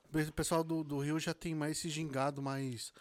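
A man's voice: fairly high pitch, little pitch movement, normal speed, no room sound. A man in a casual podcast chat, trading slangy, teasing banter. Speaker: podcast guest.

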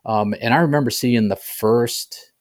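A man's voice: mid-range voice, unhurried. A middle-aged man being interviewed as an author, talking casually and often checking in with the listener. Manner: conversational, often tags sentences with "right?".

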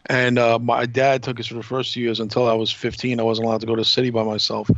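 Dull-recorded middle-aged New man, measured. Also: medium pitch, flat delivery, fairly bright tone. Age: 50s. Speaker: podcast co-host. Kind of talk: casual reminiscing panel chat. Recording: dull lo-fi audio.

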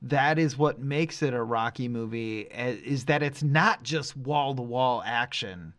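A man's voice: mid-range voice, a little slow, a bit bright. A man with a mid-range voice co-hosts a casual podcast about movies and music. He speaks effusively and puts real emphasis on his opinions, in an informal, conversational way. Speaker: podcast co-host.

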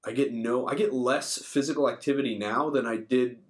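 A man's voice: mid-range voice, fairly even intonation, fairly quick. A thirty-year-old man chatting casually as a podcast co-host, informal and freely swearing. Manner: informal, profane. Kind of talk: casual chat.

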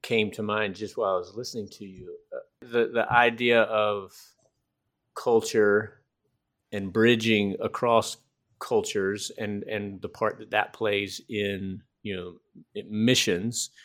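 North Carolina host, slightly low voice, chatty and questioning. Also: male voice, steady tone, slow pace, a bit bright. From North Carolina, United States. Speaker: podcast host. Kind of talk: casual interview chat.

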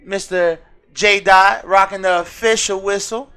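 An American man hosting a podcast in casual, slangy, excitable banter with his co-host, swearing freely. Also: high-pitched, measured pace, smooth.

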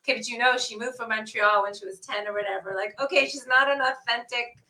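Woman, from Canada, author guest, chatty, laughs often, video call, bomb shelter, bright higher voice, echoey room. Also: fairly even intonation, quick pace. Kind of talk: casual conversation.

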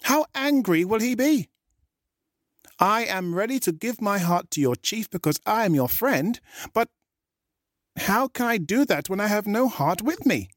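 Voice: mid-range voice, lively intonation, measured pace, no room sound, studio quality, voice pushed up, natural voice. A voice doing a cheeky little monkey. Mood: pleading, sly. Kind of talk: storybook reading.

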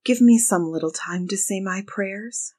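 A woman's voice: mid-range voice, measured pace, a little mellow, lightly acted. A woman voicing a frightened, desperate young wife in a fairy tale, pleading and calling plaintively. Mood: sorrowful pleading. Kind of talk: dramatized fairy-tale storybook reading.

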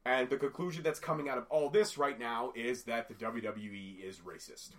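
Guy with a cold, kinda raspy, rambling on about wrestling on his podcast, mid pitch. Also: moderate pace. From Canada. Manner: talkative, rambling, animated. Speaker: podcast co-host. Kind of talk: casual wrestling podcast chat.

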